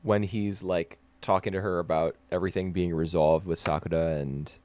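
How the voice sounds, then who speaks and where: Low-voiced man chatting about anime. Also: fairly even intonation, moderate pace, darkish tone, no echo. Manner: chatty, analytical, frequent fillers and restarts. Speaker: podcast co-host. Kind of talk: casual anime discussion.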